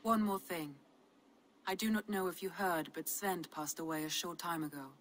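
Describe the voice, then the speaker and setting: A woman's voice: fairly low pitch, moderate pace, a bit bright, slight background noise, lightly acted. A woman playing a composed Viking clanswoman bearing news in a video game drama. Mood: grave, gentle.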